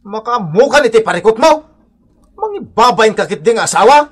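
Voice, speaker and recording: A man with an Ilocano accent playing an exasperated, scornful husband who scolds loudly in a radio comedy drama. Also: high-pitched, big pitch swings, brisk. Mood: angry, mocking. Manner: scolding, shouting, melodramatic.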